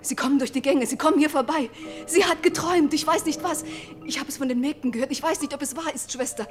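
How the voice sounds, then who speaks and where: An actress playing a frightened young sister in a theatrical radio tragedy, imploring with urgent, anxious declamation. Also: female voice, sits high, quick pace, soft background music, lightly acted.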